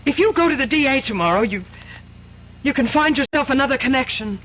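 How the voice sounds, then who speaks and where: A woman's voice: sits high, animated, a little fast, a bit bright. An actress playing a cool, pragmatic girlfriend in an old-time radio drama, firm, controlled and reproachful. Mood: scornful, warning. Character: cool, pragmatic girlfriend, daughter of a powerful man. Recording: dull, faintly noisy old radio recording.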